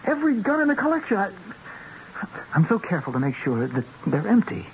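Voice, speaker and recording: An actor playing a guilt-ridden, wavering political candidate in a 1970s radio drama, halting and troubled. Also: male voice, sits high, huge pitch swings, full character voice. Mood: guilty, troubled. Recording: dull, noisy old radio broadcast.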